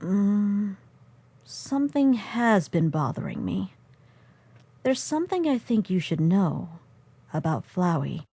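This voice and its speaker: A woman voicing a quiet, troubled child in a fan comic dub, speaking hesitantly. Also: mid-range voice, very animated, slow, long pauses, slightly rough, dry recording, exaggerated voice. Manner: hesitant and subdued.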